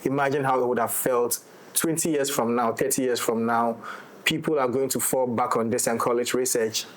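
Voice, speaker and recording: A man's voice: mid-range voice, fairly even intonation, normal speed. A man with a Ghanaian accent in a casual roundtable discussion, explaining things reflectively and checking that the listener follows. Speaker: audio drama director and writer in discussion.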